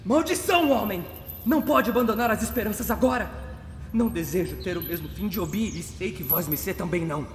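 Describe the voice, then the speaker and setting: A desperate young captive, echoing. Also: male voice, high-pitched, fairly quick. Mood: angry, desperate. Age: young adult. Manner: urgent, archaic formal speech. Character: desperate young male captive, pleading and frustrated. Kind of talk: radio drama acting. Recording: roomy reverberant sound with background noise.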